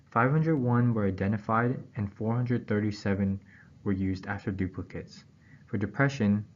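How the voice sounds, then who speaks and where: A man reads a scripted academic presentation aloud in a formal, halting way, pausing between phrases. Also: lowish voice, a little mellow. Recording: dull, muffled audio with background noise.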